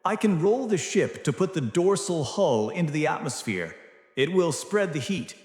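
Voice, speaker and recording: A man's voice: fairly high pitch, sing-song, moderate pace, a little mellow, voice pushed up. A man playing a resolute, terse spaceship captain in a science fiction audio drama. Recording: echoey reverb with music behind.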